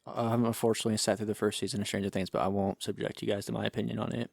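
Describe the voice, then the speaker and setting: A man talking fast and bluntly in a podcast chat, swearing freely as he argues his point. His voice is low and gravelly, recorded dry and close. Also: little pitch movement, darkish tone, no echo. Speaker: podcast co-host. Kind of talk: casual chat.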